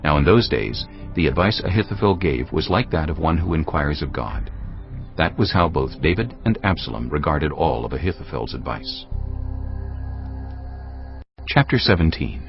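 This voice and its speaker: A man narrating an audio Bible from a script in a solemn, measured storytelling manner. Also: rumbling low, expressive, dull audio, music in the background.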